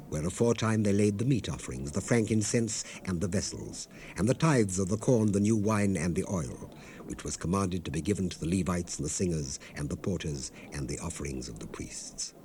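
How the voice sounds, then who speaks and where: A man with a low voice reads scripture as a solemn narrator, formal and measured. His tone is dark and soft-edged, and each phrase settles downward at its close. It comes from an old cassette with light hiss. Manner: measured, formal, phrases falling away at the end. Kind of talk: solemn dramatized Bible reading. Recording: hissy 1970s cassette rip.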